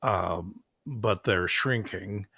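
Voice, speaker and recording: A man hosting a podcast, asking questions in a halting way with many fillers as he searches for words. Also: lowish voice, creaky, pushed and bright, slightly rough, no room sound, studio quality. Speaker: podcast host. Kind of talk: interview questions.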